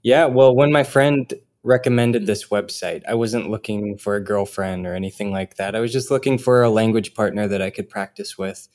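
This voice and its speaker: A man from Minnesota tells his story as a podcast guest in an easygoing way. His voice sits on the low side. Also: fairly even intonation, normal speed, few pauses, a little mellow. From Minnesota, USA. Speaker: guest, former music producer. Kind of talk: casual interview chat.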